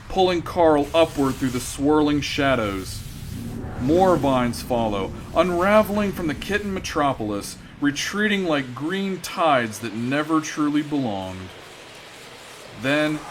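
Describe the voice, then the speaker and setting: A man's voice: fairly high pitch, a little hiss or hum. A man narrating a comedy radio drama in a mock-grand storytelling manner.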